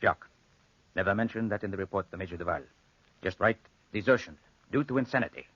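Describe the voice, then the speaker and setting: Brusque, low-voiced army lieutenant. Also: male voice, fast talker, fairly bright tone, breathy edge, dry recording. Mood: grave, commanding. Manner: clipped, authoritative. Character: brusque, shrewd male army lieutenant, commanding and suspicious. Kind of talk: 1940s radio drama acting. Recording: muffled 1940s radio recording.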